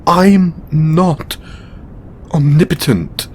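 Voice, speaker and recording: A man playing a weary, burdened god in an intimate audio roleplay, speaking haltingly with sighs and long pauses. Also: on the high side, a little mellow, slight background noise. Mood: pained, defensive. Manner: halting, sighing, with drawn-out pauses. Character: weary, burdened male god confiding in his lover. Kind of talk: audio roleplay monologue, intimate dramatic acting.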